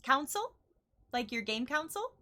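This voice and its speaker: A woman's voice: high voice, big pitch swings, moderate pace, pushed and bright. A woman with a Chicago accent hosting a casual interview. She is bubbly and giggly and laughs easily. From Chicago, US Midwest. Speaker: interview host. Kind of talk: casual online interview chat.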